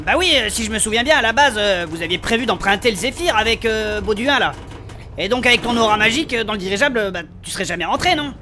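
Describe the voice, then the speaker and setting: A man playing a naive, excitable rogue who talks in colloquial, halting questions in a French fantasy comedy audio drama. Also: high-pitched, a little hiss or hum. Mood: puzzled. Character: slow-witted, excitable male rogue, indignant and questioning.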